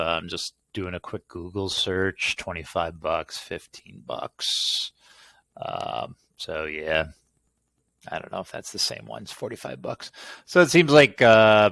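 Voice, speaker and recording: A middle-aged man with a fairly low voice talks casually through a product unboxing. He rambles as he goes, goofy and grumbling, with some mild cursing thrown in. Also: creaky, fairly bright tone, dry recording. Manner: goofy, grumbling, mildly profane. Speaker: unboxing video host.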